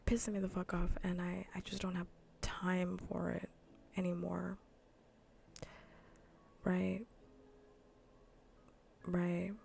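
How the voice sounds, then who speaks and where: A woman livestreamer chatting casually in a soft, breathy near-whisper, rambling bluntly and sardonically with plenty of swearing in an ASMR style. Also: fairly low pitch, monotone, takes it slowly, pauses often, slight background noise. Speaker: livestreamer hosting an ASMR gaming stream.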